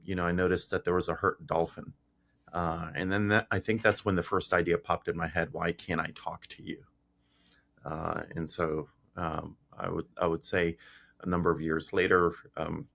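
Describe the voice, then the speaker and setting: Deep gravelly man telling stories. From Greece. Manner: relaxed, frequent fillers and restarts. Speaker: guest, tech founder. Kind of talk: casual interview storytelling.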